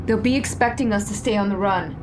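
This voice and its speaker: A woman's voice: mid-range voice, fast talker, lightly acted. An actress playing a brash, impulsive, chatty woman on the run in an audio drama. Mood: flippant, flirty. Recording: noisy car and roadside scene.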